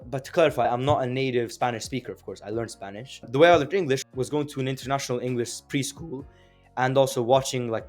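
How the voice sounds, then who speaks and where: A young man whose first language is Azeri, explaining language learning in a casual, chatty way with fillers and rhetorical questions. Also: mid-range voice. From Azerbaijan. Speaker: language teacher. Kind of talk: casual explanatory video lesson.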